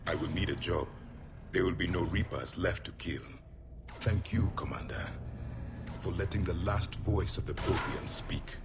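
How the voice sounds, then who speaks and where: A man's voice: deep voice, a little slow, background noise. A man playing an ancient alien warrior, last of his people, speaking in a grave, formal, declamatory way in a video game. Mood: grave, reflective. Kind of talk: video game dramatic acting. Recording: game dialogue over loud music.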